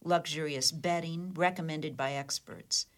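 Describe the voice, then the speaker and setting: Radio comedy whodunit casting: actress for sardonic, haughty woman suspect, slightly low voice, wry and defiant. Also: fairly even intonation, unhurried. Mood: haughty, proud. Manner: wry, haughty, clipped retorts. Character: defiant, flippant, sardonic woman under police questioning. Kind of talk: comedic radio play dialogue.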